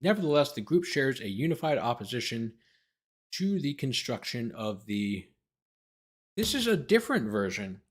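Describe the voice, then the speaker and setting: Sardonic male commentator with lively, swinging pitch. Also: moderate pace. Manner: sardonic asides, breaks off reading to quip. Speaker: host, lawyer commentator. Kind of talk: reading a legal document aloud with off-the-cuff commentary.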